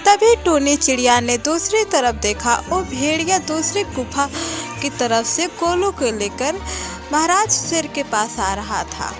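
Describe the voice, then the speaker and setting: A woman's voice: high-pitched, animated, measured pace, music in the background. A woman narrating a scripted children's cartoon story.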